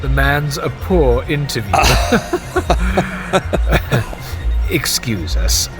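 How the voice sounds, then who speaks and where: Man voicing wry teasing diplomat, mid-pitched, smooth, music under. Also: sing-song, drawn-out words. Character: teasing, patient male aristocrat friend and diplomat.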